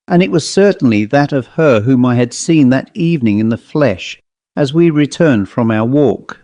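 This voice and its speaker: Male audiobook narrator for ghost fiction: mellow, measured, literary, recorded close and dry. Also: medium pitch, dry recording. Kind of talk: scripted narration of a ghost story.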